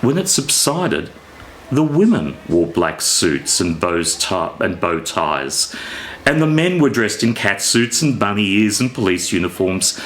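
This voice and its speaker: A man reading his own fiction aloud as an author, in a theatrical, deliberate storytelling manner. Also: on the low side, a bit bright, a little hiss or hum, low-quality recording. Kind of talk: expressive scripted story reading.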